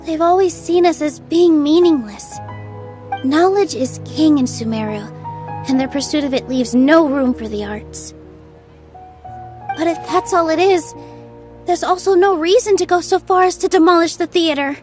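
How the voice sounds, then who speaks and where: Woman voicing a gentle young female dancer, earnest, soft-spoken, very high voice. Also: normal speed, music in the background, close to an everyday voice. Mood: worried. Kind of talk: video game dialogue.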